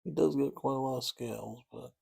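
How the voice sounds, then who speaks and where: A man's voice: medium pitch, a little fast, gravelly, warm tone, slightly rough. A man chatting casually and rambling a bit as he paints miniatures, easygoing and self-deprecating. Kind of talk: casual chat while painting.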